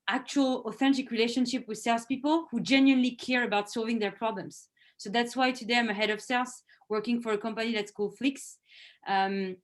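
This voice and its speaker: A woman, a head of sales, giving an earnest presentation over a video call. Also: fairly high pitch. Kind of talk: virtual presentation. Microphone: video call microphone.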